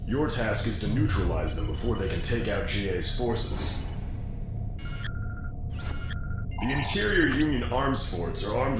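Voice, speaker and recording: An actor playing a businesslike mercenary mission broker in a game, giving briefings in a casual, matter-of-fact way. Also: male voice, on the low side, lightly acted. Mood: brisk, offhand. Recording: echoing, distant, music behind.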